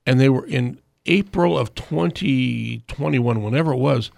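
Man, radio talk host, raspy, joking, dry studio mic. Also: medium pitch, measured pace, a little mellow, dry recording. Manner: jokey, interjecting. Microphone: studio microphone.

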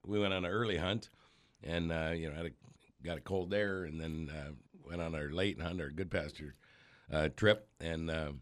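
Radio host guy with a cold, very low dry voice, talks in a meandering way. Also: fairly even intonation, no echo. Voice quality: rambling, halting with fillers. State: has a cold. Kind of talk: casual radio chat. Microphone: studio microphone.

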